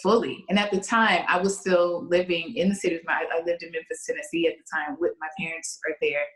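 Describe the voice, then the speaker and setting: A woman in her late thirties, chatting casually as a podcast guest, telling stories in an expressive, animated way. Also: on the low side, fast, reverberant, poor audio. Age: 30s. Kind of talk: casual chat.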